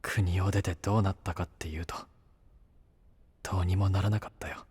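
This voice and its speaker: An actor playing a brash, rough-talking young knight and younger brother in an anime-style voice drama. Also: male voice, low-pitched, steady tone, brisk, a little mellow, in a large hall. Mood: confessional, pained. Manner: blunt, rough masculine speech.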